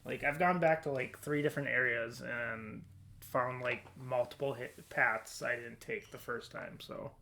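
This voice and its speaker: A man's voice: mid-range voice, fairly even intonation, measured pace, gravelly, sharp tone, slight background noise. A man with a mid-range voice hosts a casual podcast discussion. He speaks informally, as in a chat among friends. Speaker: podcast host.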